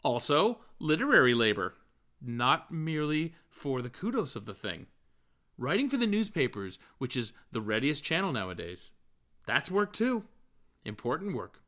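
A man voicing an earnest, well-meaning middle-aged gentleman who lectures in a pompous, verbose, fussy way for an audiobook. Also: fairly high pitch, sing-song, moderate pace, pitched above the performer's own voice. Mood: eager, insistent.

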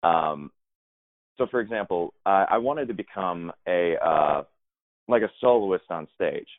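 A man who performs in musical theater answers interview questions thoughtfully, rambling a little and often restarting his sentences in a low voice. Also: expressive, a little slow, no room sound. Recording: dry, tinny phone-like line.

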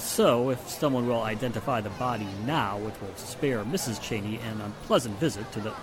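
An actor playing a considerate, businesslike police inspector in a 1940s-style radio drama. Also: male voice, medium pitch, normal speed, over music, lightly acted. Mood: grave, official.

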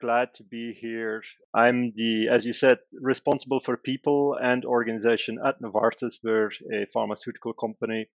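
Flat-toned man from Belgium. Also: mid-range voice, moderate pace, no room sound, highs cut off. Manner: thoughtful, explanatory, with filler "uh"s. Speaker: guest, corporate executive. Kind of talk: conversational interview answers.

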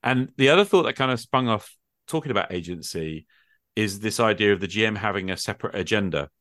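A middle-aged man with a low voice talks through his ideas in a casual, explanatory way. He often checks in with little tag questions, and the sound is dry and close. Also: lively intonation, brisk, fairly bright tone, no room sound. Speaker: podcast host and game master. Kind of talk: extended casual discussion of tabletop gaming.

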